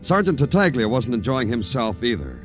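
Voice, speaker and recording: An actor playing a weary, terse police detective with a wry, deadpan manner in a vintage radio crime drama. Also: male voice, mid-range voice, normal speed, lightly acted. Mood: wry, world-weary. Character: weary, patient male New York police detective. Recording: vintage radio broadcast with music.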